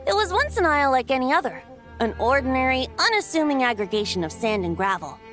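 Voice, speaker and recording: A woman voicing a boyish wind god bard in a video game, speaking in a lyrical, theatrical, gently teasing storyteller's manner, playful yet wise. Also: sits high, sing-song, fast talker, music in the background. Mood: solemn, poetic. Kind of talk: video game character dialogue.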